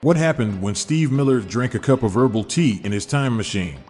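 A man's voice: medium pitch, animated, normal speed, a little mellow, husky, in a large hall, faint music behind. A man hosting a podcast, reading dad jokes in an upbeat way and chuckling at his own punchlines. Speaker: podcast host.

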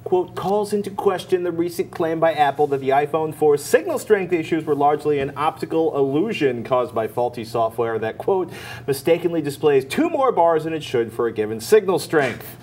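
A man's voice: a little high, animated, moderate pace, darkish tone. An American man in his forties co-hosting a tech show with casual, colloquial chat and wry asides. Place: indoor TV studio set. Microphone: lapel mic.

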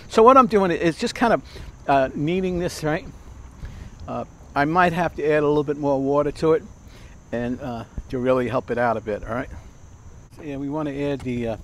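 A man's voice: mid-range voice, fairly bright tone, slight background noise. Rambling older man cooking outdoors. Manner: rambling, chatty, full of fillers and restarts. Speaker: cooking show host. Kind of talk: casual cooking demonstration. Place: outdoor backyard by a fence. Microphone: lapel mic.

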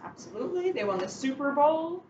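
A young woman reads a picture book aloud for children, dropping in casual asides. Her voice sits fairly high and moves around a lot, with emphatic delivery. The webcam recording is somewhat muffled. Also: sing-song, a little fast, darkish tone, slight background noise. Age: young adult. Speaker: police officer reading a picture book. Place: indoors in a catering cafe. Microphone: webcam a short distance away.

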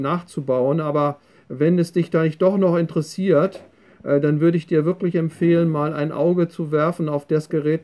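A man's voice: on the high side, mellow. A man from Germany talking casually as an amateur radio operator, polite and wordy with some hesitation. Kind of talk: casual ham radio conversation.

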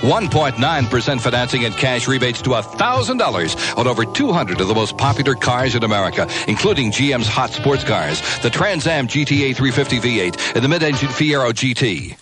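Male radio ad announcer selling cars, lively delivery, music going behind him. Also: medium pitch, a little fast, few pauses. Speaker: commercial announcer. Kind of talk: scripted radio car ad.

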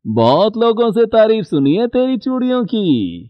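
Brief: hearty cartoon bangle trader, raised lively delivery, slow, dry and a bit dull-sounding. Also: male voice, sits high, no echo. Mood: cheerful. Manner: hearty, chatty. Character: jolly middle-aged male bangle trader Nathulal.

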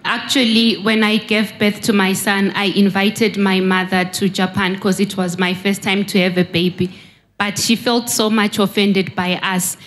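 A woman's voice: mid-range voice, level tone, normal speed, slight background noise. A woman giving an earnest testimony into a handheld mic in a large church hall. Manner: earnest, confessional. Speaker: congregant.

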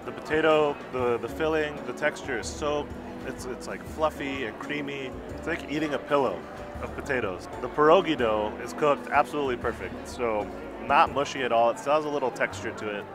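A man's voice: mid-range voice, a little fast, over music. A man in his thirties reviewing a restaurant meal, gushing about each dish, recorded on a lapel mic at a busy indoor restaurant. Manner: enthusiastic, gushing about food. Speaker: food vlogger.

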